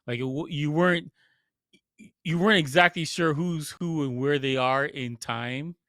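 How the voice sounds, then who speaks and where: A man's voice: fairly high pitch, moderate pace, pushed and bright, no room sound, studio quality. A man co-hosting a podcast, giving a casual film review in an informal, conversational, lightly amused way. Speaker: podcast co-host reviewing films.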